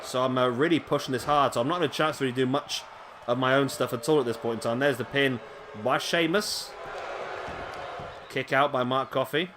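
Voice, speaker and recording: A man giving casual play-by-play commentary on a wrestling video game, chatty with rambling asides to viewers, his voice going a little hoarse. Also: mid-range voice, a little fast, noisy background. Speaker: gaming commentator. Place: home room, late at night.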